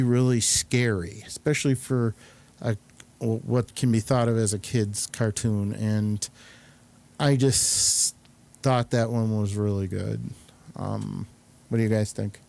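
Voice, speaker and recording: Guy hosting a laid back chat, medium pitch, kinda stops and starts looking for words. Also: vocal fry, darkish tone. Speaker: host. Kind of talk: casual chat with guests.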